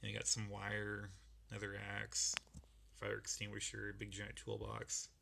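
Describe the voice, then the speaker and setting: Gravelly-voiced man losing his voice. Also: lowish voice, completely flat delivery, measured pace, background noise. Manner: rambling, laid-back, lots of fillers. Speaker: model kit builder. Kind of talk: casual show-and-tell walkthrough.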